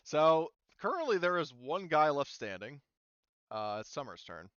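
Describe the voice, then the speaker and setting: Animated guy game mastering, clean smooth voice, casual and sweary, slightly muffled audio. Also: on the high side, a little slow, dry recording. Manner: profane, informal. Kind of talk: casual tabletop game narration.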